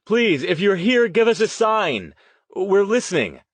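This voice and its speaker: A man's voice: on the low side, sing-song, a little fast, no room sound. A man voicing a vain, hot-tempered ghost-show host with a projected, theatrical delivery, in an audiobook. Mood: theatrical, calling out.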